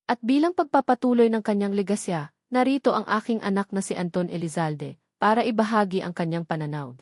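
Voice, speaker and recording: A woman narrator plays a scheming wealthy matriarch from a storybook drama. She is cold, arrogant and commanding, speaking at a middle pitch in a dry, close sound. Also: brisk, dry recording, natural voice. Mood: confident. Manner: commanding, haughty.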